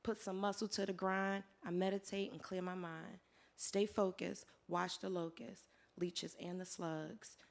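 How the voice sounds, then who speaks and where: A hoarse woman performing her spoken word poetry live, in a rhythmic rhyming delivery that swings between soft lines and loud declarations. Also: lowish voice, little pitch movement, measured pace. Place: live venue with audience.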